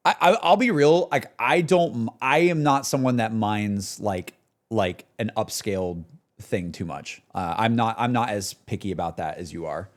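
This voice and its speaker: A man's voice: lowish voice, moderate pace. A man livestreaming in a casual, slangy, repetitive way, talking to his chat and reacting off the cuff. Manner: slangy, repetitive, full of "like", "bro" and "dude". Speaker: streamer.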